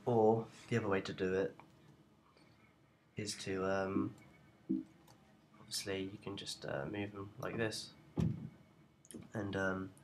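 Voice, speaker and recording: A young adult man giving an informal, unscripted software tutorial in a hesitant, rambling way, as a presenter walking through each step. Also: low-pitched, flat delivery, takes it slowly, long pauses. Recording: noticeable background noise.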